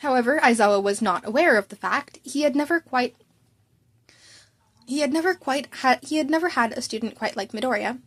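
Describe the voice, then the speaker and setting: A woman with a fairly high voice narrates a story. She reads informally, like a hobbyist, with the odd stumble. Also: breathy edge. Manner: informal, stumbles and rereads lines. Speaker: amateur fan fiction narrator. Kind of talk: story narration with character dialogue.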